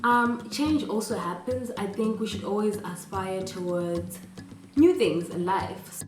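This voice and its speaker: A woman's voice: medium pitch, measured pace, far from the mic, soft background music. Earnest woman advising students in a roomy space. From Zimbabwe. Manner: earnest and encouraging, with rhetorical questions. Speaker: vlogger giving student advice. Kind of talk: advice vlog, direct address.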